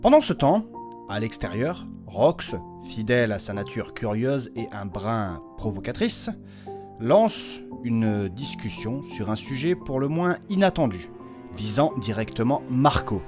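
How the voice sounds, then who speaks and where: A man's voice: mid-range voice, sing-song, measured pace. A man narrating a fantasy audio saga in a solemn, dramatic scripted storyteller style. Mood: solemn suspense. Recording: music behind the voice.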